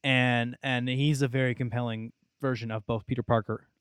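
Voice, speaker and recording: Clear-voiced young man, chatting. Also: mid-range voice, flat delivery, moderate pace, dry recording, clean studio sound. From Texas, United States. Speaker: podcast co-host. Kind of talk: casual video game discussion.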